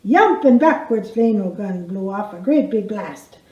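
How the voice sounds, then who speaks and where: A woman's voice: medium pitch, animated, a little slow. A woman reciting a rhymed comic story in an emphatic, folksy storytelling manner. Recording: roomy echo, dull sound.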